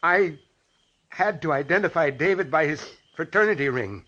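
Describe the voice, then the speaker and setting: An actor playing a grave, protective doctor and husband, earnest and commanding, in 1940s radio style. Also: male voice, fairly high pitch, animated, moderate pace, pushed and bright, highs cut off. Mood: agitated, urgent.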